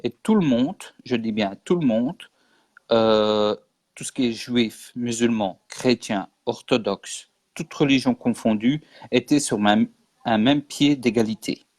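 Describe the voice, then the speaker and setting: Male guest, from Belgium, earnest, insistent, repeats points, medium pitch, dry sound. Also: measured pace, darkish tone, no echo. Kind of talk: casual interview explaining history.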